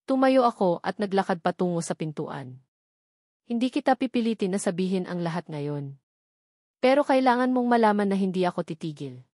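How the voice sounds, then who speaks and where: A woman's voice: mid-range voice, expressive, a little fast, darkish tone, dry recording, clean studio sound. A woman with a Filipino accent narrates a scripted romance drama in the first person, reading dialogue and narration in the same voice. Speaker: story narrator.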